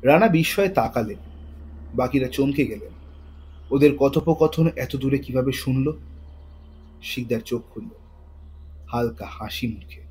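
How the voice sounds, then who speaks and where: A man's voice: medium pitch, expressive, measured pace, pauses often, darkish tone. A man narrating a scripted thriller story in a dramatic storytelling style. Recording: faint noise and ambience behind voice.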